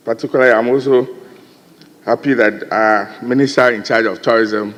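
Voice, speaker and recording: A man's voice: medium pitch, in a large hall. A man with a Ghanaian accent giving an earnest floor speech in parliament, often turning to address the chair. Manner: earnest, rising emphasis, repeated addresses to the chair. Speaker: member of parliament. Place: large parliamentary chamber.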